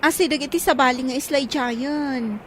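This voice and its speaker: A woman's voice: sits high, normal speed, a little hiss or hum, close to an everyday voice. A woman with an Ilocano accent playing an inquisitive village woman at a pier. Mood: curious.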